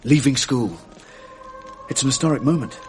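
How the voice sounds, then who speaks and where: A man plays an English public schoolboy in his late teens for a radio play. He is earnest, languid and self-deprecating, with a mid-pitched voice and faint music behind him. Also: normal speed, close to an everyday voice, poor audio. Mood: fond, wistful. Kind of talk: 1980s radio drama acting.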